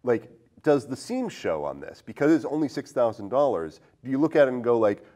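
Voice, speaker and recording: A man's voice: medium pitch, sing-song, fairly quick, mellow. A man hosting a casual film discussion podcast, thinking aloud and posing questions as he goes. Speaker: podcast host.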